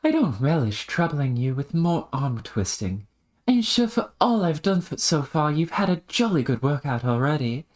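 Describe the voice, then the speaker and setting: Someone doing a genteel British correspondent, cheery, prim, old-fashioned phrasing, swooping pitch, pitched up. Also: sing-song, moderate pace, close to an everyday voice. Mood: coaxing.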